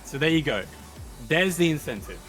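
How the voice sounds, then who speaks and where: A man with a deep, low cadence doing excitable, jokey live commentary on a fighting-game tournament. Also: normal speed, faint music behind. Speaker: esports commentator.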